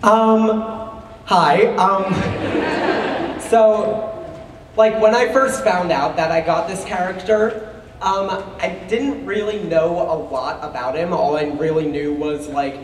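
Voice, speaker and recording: A teenage boy talks about his role in a slow, nervous way with plenty of "um"s. He sits far from the mic in an echoing auditorium, with clear hiss around him. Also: high voice, steady tone. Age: teens. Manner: nervous, hesitant, many fillers. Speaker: student actor. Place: school auditorium. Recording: distant mic in an echoey hall.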